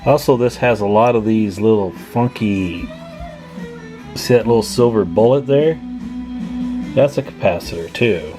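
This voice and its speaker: Man, low pitch, casual hobbyist walkthrough, folksy, musing aloud, guitar music clearly behind the voice. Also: measured pace, pauses often. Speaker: hobbyist presenter. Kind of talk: casual hands-on repair walkthrough.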